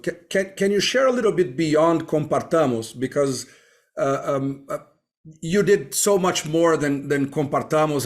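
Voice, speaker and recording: A man hosting a podcast interview, introducing his guests warmly and asking questions with many hesitant fillers. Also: on the high side. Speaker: podcast host.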